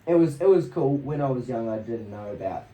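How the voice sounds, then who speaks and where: A man's voice: mid-range voice, lively intonation, fairly quick, mellow, dull audio. A young man from New Zealand and Australia telling casual, rambling stories as a podcast guest in a laid-back, slangy, sweary way. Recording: roomy echo, distant mic, faint noise.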